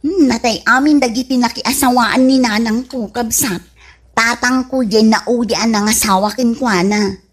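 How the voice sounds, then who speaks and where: A woman playing a giddy, clingy flirt in an Ilocano comedy radio drama, with an Ilocano accent and a giggly, drawn-out teasing delivery. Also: fairly high pitch, fairly even intonation, husky. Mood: matter-of-fact.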